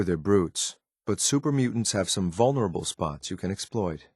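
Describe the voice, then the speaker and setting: A low-pitched male synth soldier from a video game, recorded close and dry. He speaks in clipped, matter-of-fact military phrases. He sounds stern and dutiful, with disdain for whatever he finds beneath him. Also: no echo. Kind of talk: video game companion voice lines.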